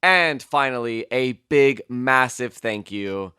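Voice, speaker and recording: Bright-voiced, animated man hosting a podcast. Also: medium pitch, takes it slowly, clean tone, no echo, studio quality. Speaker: podcast host and narrator. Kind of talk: casual banter at a dramatic reading session. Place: recording studio.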